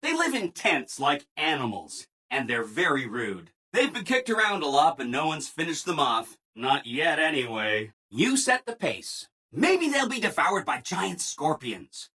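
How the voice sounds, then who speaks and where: Eager-to-please game robot, chipper, gushing, bright forceful tone, swooping pitch. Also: male voice, big pitch swings, measured pace, hardly any pauses, slightly rough, heavily acted. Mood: brightly matter-of-fact. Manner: chipper, exaggeratedly agreeable, gushing. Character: relentlessly cheerful, eager-to-please male robot assistant. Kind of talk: video game character dialogue.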